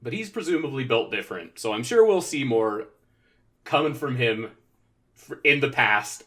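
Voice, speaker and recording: A man playing a tabletop game, chatting casually in a halting, rambling way as he recaps events. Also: mid-range voice, expressive, a bit bright.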